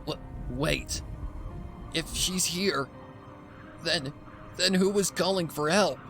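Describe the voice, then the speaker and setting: A man's voice: a little high, slow pace, pushed and bright, soft background music, pitched above the performer's own voice, exaggerated voice. A man voicing a caring, nervous female pony in a story reading, earnest and anxious. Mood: fearful.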